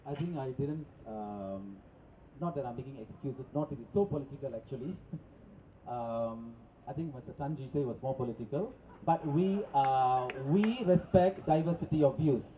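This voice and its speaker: A man's voice: mid-range voice, normal speed, phone-quality sound, slight background noise. A man, a protest speaker answering at a press conference in a hesitant but rhetorical way.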